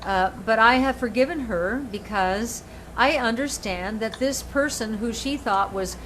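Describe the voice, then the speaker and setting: An older woman hosting her show, telling stories in an animated, emphatic way with many restarts. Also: fairly high pitch, a little slow, a little hiss or hum, poor audio. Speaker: show host and author. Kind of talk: casual chat.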